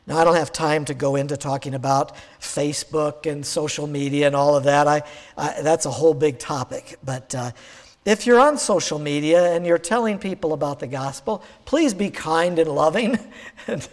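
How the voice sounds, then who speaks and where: A male pastor with a croaky, hoarse voice, preaching a sermon in an easygoing, conversational way with plenty of fillers. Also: on the high side, moderate pace, in a large hall.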